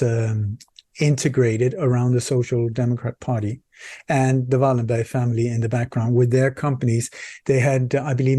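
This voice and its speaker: Mellow-voiced man from Sweden telling a story. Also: mid-range voice, no room sound, studio quality. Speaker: interview guest, researcher and author. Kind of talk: conversational storytelling in an interview.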